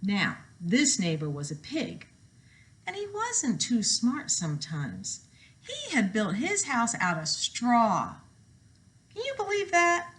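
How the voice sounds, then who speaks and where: Woman, teacher reading to children. Lively, swooping intonation, emphatic storytelling with chatty asides. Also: a little high. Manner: expressive, emphatic, drawn-out words. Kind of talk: animated children's read-aloud with asides to listeners.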